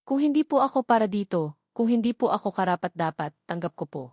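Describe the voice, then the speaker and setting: A woman voicing a tearful young woman who prays softly and pleads. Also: medium pitch, expressive, fast, dry recording, studio quality, close to an everyday voice. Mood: pleading. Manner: soft, pleading.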